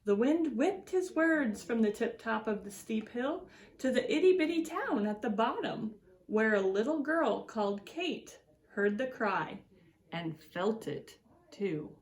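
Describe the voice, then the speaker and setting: A woman reading a picture book aloud to children as an educator, with deliberate, expressive storytelling and drawn-out words. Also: fairly high pitch.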